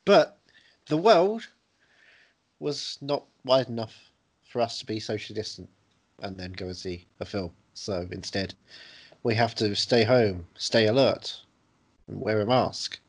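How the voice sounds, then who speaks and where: A man's voice: fairly low pitch, lively intonation, a little slow, long pauses. A man co-hosting a podcast in casual comic banter, with playful, mock-grand phrasing. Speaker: podcast co-host.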